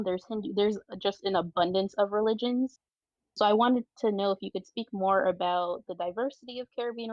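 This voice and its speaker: A woman's voice: mid-range voice, dry recording. A woman hosting a podcast, speaking in a friendly, conversational way. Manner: friendly, conversational, casual fillers. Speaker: podcast host. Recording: dry, slightly dull audio with faint music.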